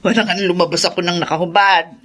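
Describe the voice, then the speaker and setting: A woman's voice: lowish voice, fairly even intonation, quick pace, fairly bright tone. A woman playing a bitter, drunken middle-aged mother in a Filipino radio drama, with a slurred, theatrical drawl. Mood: scornful, defiant.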